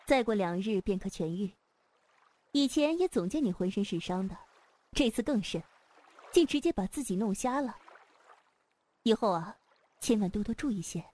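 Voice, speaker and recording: A woman's voice: medium pitch, animated, normal speed, long pauses, a little mellow, lightly acted. A woman playing a seductive, proud female sect leader in a wuxia audio drama, with a coy, teasing manner. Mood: gently caring. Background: music.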